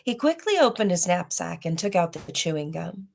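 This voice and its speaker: A woman with a slightly low voice reads to children as a storybook host. Her phrasing is deliberate and dramatic, and she keeps an informal, read-aloud style. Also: sing-song, gravelly, a little mellow.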